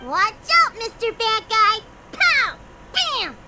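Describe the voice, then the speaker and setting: A woman voicing a tiny, excitable, cheeky childlike fairy in an anime game, squeaky, with loud music behind. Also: takes it slowly, very noisy, full character voice. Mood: feisty. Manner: speaks of self in third person.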